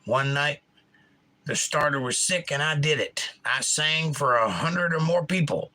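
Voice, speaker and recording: A middle-aged man with a Georgia accent, hosting a casual live chat and reading out viewer comments, enunciating crisply in an animated, opinionated way. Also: on the high side, measured pace. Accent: Georgia, US South. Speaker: livestream host.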